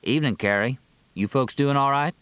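A man playing a polite, earnest rural deputy with a deliberate, understated manner in a story reading. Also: mid-range voice, fairly bright tone, clean tone, dry recording, pitched above the performer's own voice, natural voice. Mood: friendly, polite. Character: polite, drawling young male rural deputy, earnest and droll.

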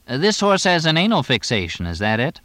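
Man acting a teasing male horse owner, dry, inquisitive, bantering, animated intonation, close dry audiobook sound. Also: fairly high pitch, a little fast, no room sound, pitched above the performer's own voice. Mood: wry banter. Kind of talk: audiobook character dialogue.

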